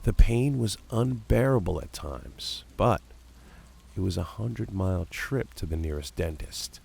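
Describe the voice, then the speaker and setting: A man's voice: low-pitched, unhurried, vocal fry, husky. A man reading a scripted horror story as narrator, with dramatic storytelling delivery. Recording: steady background noise of rain ambience.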